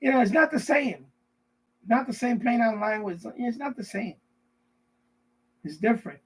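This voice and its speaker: A middle-aged man hosting a casual live stream, rambling and often repeating himself, with a husky voice. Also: very high voice, animated, moderate pace, poor audio. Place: basement.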